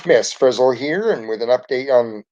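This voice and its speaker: A man's voice: medium pitch, animated, moderate pace, a little mellow, natural voice. An upbeat, zany schoolteacher played by a man leads a storybook adventure. She announces and instructs reassuringly. The sound is a bit dull and lo-fi. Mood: brisk, announcing. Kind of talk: children's storybook read-aloud. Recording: dull lo-fi home recording.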